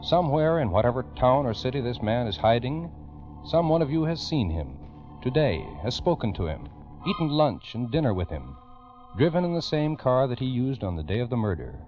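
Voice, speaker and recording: A man's voice: mid-range voice, big pitch swings, normal speed, muffled recording, faint music behind. A man narrating a 1940s radio crime program in a grave, emphatic, urgent manner on an old recording. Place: radio studio.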